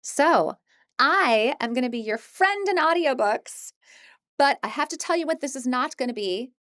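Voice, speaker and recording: A woman's voice: high voice, lively intonation, normal speed, a bit bright, dry recording. Chatty, encouraging woman, close dry recording. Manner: chatty, encouraging, informal and self-deprecating. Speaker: audiobook narrator teaching an introductory course. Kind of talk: casual, conversational course presentation.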